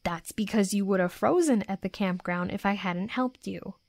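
A woman voicing a tough young female werewolf in an intimate roleplay audio drama, gruffly defensive and stammering, secretly smitten. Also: medium pitch, moderate pace, darkish tone, no room sound, clean studio sound, lightly acted. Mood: flustered, evasive.

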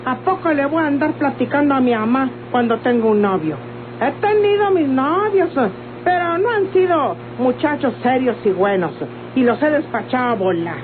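A sassy young country daughter in a Mexican radio drama. She speaks high, candid and folksy. The sound is a tinny old recording with clear noise. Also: expressive, normal speed. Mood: sassy, boastful. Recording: noisy, band-limited old radio recording.

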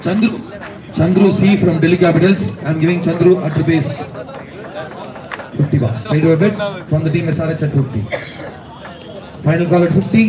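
A young man with a Tamil accent calling player bids as auctioneer at a rooftop event at night, heard through loudspeakers from a distance. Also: a little high. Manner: rapid rhythmic bid calling, switching to asides. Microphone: handheld mic through speakers, filmed from far. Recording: echoey PA, distant, crowd noise. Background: crowd chatter.

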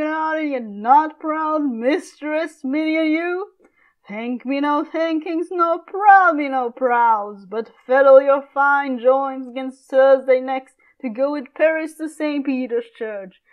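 A woman's voice: sits high, lo-fi sound, pitched above the performer's own voice. A woman playing a tyrannical father in a stage tragedy, declaiming scornfully in archaic English. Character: furious tyrannical father, Shakespearean patriarch berating his daughter.

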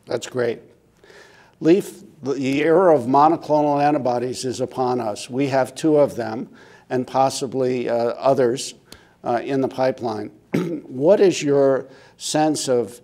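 A middle-aged American man moderating a medical panel, asking questions in a deliberate, formal way. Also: medium pitch, mellow. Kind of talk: interview questioning. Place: indoor conference interview set.

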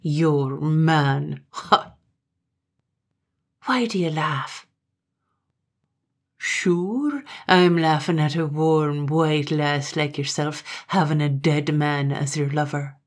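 A woman reader voicing a jealous, menacing Highland man with low, gloating menace in a gravelly, husky voice. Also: fairly even intonation, takes it slowly, long pauses, full character voice. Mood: mocking.

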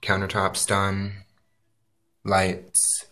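A man's voice: sits low, extremely slow. A man filming a do-it-yourself cabin build and talking casually, with plenty of "uh" and "um" fillers. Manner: relaxed, lots of uh and um fillers. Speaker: vlogger filming a DIY build. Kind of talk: casual home video narration. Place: backyard, then inside a small wooden cabin.

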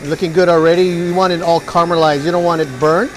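A chef in his forties talks viewers through his recipes in a restaurant kitchen. His voice sits high, and he keeps an upbeat, hyped-up tone. Faint music plays underneath. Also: flat delivery, fast. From Hawaii. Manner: upbeat, hypes the food to viewers. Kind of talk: cooking demonstration.

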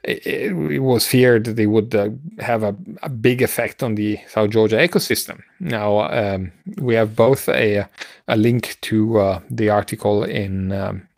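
A middle-aged man with a gravelly voice, co-hosting a casual science news discussion in a halting way, searching for words. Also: fairly low pitch, fairly even intonation, a little mellow, no room sound, clean studio sound. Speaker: podcast co-host. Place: home room, video call. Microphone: headset on a video call.

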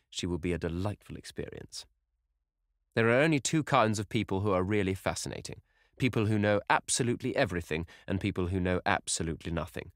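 A worldly aristocratic gentleman tosses off epigrams in a languid, amused drawl, played by a man reading the book. The voice is low and sounds close in a dry recording. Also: no room sound.

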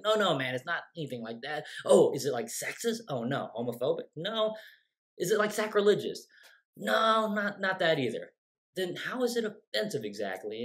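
A playwright in his forties telling a story casually to camera from a room at home, animated and quick to act out his younger self. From United States. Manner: animated, gestures a lot, quotes his younger self with lively shifts in pitch. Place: home room with bookshelves and windows. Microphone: close webcam framing.

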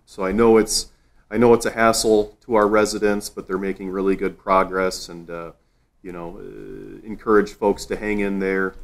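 A man speaking as a city official, giving an informal, plain-spoken and reassuring update in a meeting room. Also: low voice. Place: council meeting room, indoors.

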